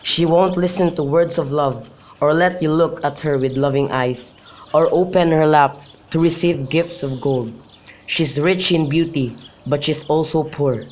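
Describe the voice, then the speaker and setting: Give this one to a melancholy young lover played by a man with a fairly high voice. He broods and pines in amateur radio-play style. The recording has faint noise and chirping birds behind it. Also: steady tone, unhurried, darkish tone, close to an everyday voice. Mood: pining, despairing. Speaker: student actor. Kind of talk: amateur radio drama acting, modern-English Shakespeare.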